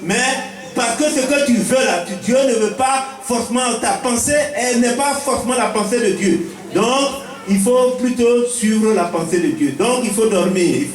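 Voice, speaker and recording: Ivorian guy, village chief speechifying like a preacher, pretty high voice, repeats his points, mic is far away. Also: moderate pace. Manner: didactic, repeats key phrases for emphasis. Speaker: village chief giving a welcome address. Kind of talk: preaching-style welcome speech. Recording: echoey, picked up from a distance.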